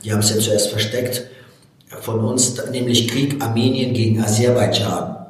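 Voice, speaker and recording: A man's voice: lowish voice, steady tone, moderate pace. Middle-aged man, very echoey distant recording. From Baku, Azerbaijan. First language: Russian. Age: 40s. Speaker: interview guest, personal development speaker and coach. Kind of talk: motivational personal storytelling in an interview. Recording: very echoey room, distant from mic.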